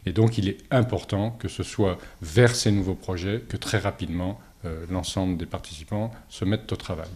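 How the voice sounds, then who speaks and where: A man gives a formal, measured political statement as a local opposition politician, halting often on long hesitations. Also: low voice, a little mellow. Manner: hesitant, halting delivery with frequent long filler pauses. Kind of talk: political statement to camera, semi-prepared.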